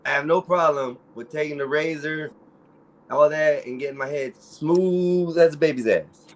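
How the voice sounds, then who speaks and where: A man with a low, groaning voice and a Spanish-influenced accent, chatting casually and swearing a lot. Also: normal speed. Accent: Spanish-influenced English. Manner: laid-back, swears a lot. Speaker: stream guest. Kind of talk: casual crude banter.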